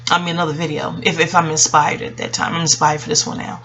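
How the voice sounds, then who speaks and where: A woman's voice: sits low, flat delivery, fast, fairly bright tone. A woman from Queens telling a story casually to viewers, conversational, slipping into reported dialogue. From Queens, New York. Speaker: vlogger.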